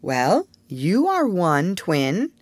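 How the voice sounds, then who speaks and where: A prim young girl storyteller , acted by a woman, motherly and grandly dramatic. Her pitch rises and swoops as she builds each phrase, unhurried and theatrical, in a dry, close storybook recording. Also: wildly swooping pitch, no room sound, full character voice. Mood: patient, instructive.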